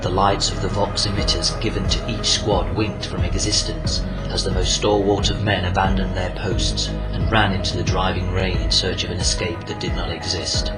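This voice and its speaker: A man narrating a scripted war story in a solemn, portentous, dramatic manner. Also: deep voice. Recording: loud music under the voice.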